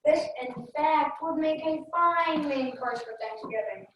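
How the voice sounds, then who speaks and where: A woman's voice: sits high, a little slow, dull audio. A young girl reading a scripted part in a readers theater play. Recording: echoey room, distant mic, hiss.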